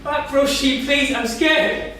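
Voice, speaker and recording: Timid young boy man acting it, high pitched, worried, doing a stage reading in a really echoey noisy room. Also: fairly even intonation, slow pace, bright, forceful tone, exaggerated voice. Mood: scared, pleading. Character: timid, cautious young boy, anxious little brother. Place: small theatre stage, live reading. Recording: echoey theatre, distant mic, noisy.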